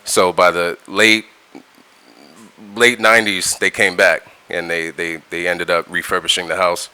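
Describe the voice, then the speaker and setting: A man's voice: sits low, level tone, a little slow, pushed and bright, smooth. A middle-aged man, a music producer talking in a casual interview, laid-back and slangy, often repeating words as he restarts. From California, United States.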